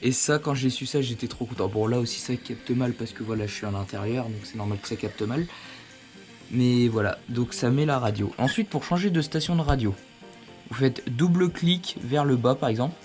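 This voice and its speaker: A man with a mid-pitched voice walks through a product in a casual, hands-on way. He sounds informal and conversational, explaining step by step as a video host would, recorded indoors with music in the background. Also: normal speed, darkish tone. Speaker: host of a motorcycle gear review channel. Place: indoors at home.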